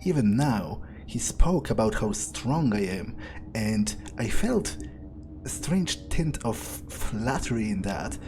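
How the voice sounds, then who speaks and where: A man playing an arrogant, power-hungry vampire in a video game roleplay, musing aloud in a deliberate, improvised monologue. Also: lowish voice, very animated, exaggerated voice, poor audio. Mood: arrogant, threatening. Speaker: gameplay video creator role-playing in character. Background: music.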